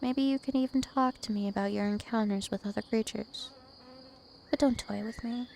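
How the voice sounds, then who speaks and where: Casting: woman as an immortal vampire princess for ASMR roleplay, teasing and possessive, creaky voice over noticeable insect-like night ambience. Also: on the high side, fairly even intonation, darkish tone, a little hiss or hum. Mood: calm, probing. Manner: slow, deliberate, teasing and possessive.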